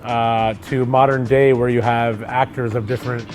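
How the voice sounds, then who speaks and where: Relaxed middle-aged man chatting loosely. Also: mid-range voice, normal speed, soft background music. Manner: relaxed, rambling with many fillers. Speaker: actor co-hosting a chat. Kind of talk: casual conversation.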